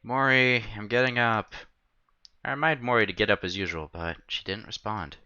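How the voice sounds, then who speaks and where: A man's voice: lowish voice, moderate pace, sharp tone, highs cut off, a little hiss or hum. A man narrating a visual novel aloud as a streamer, expressively and acting out the dialogue.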